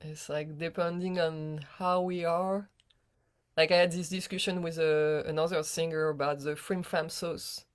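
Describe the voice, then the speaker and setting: Laughing French woman, lowish voice. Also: little pitch movement, unhurried. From France. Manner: giggly, laughs often mid-sentence. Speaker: guest singer. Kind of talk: casual chat.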